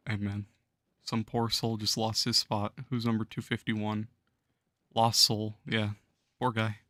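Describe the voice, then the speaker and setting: A hoarse young man losing his voice, chatting casually with viewers on a gaming livestream and chuckling often. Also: on the low side, monotone, measured pace, a bit bright, dry recording, clean studio sound. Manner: laid-back, chuckles while talking to chat.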